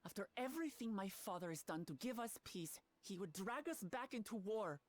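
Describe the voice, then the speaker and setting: A woman's voice: medium pitch, lively intonation, normal speed, dry recording, lightly acted. A woman voicing a spirited young princess in a video game, speaking in an earnest, resolute, plain-spoken way. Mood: earnest, determined. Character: earnest, spirited young princess seeking her father's throne. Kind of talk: video game cutscene acting.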